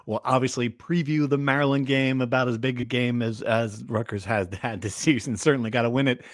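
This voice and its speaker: A man's voice: medium pitch, fairly even intonation, fairly quick, no room sound, clean studio sound. A man hosting a casual sports talk podcast, rephrasing mid-thought and leaning on words for emphasis.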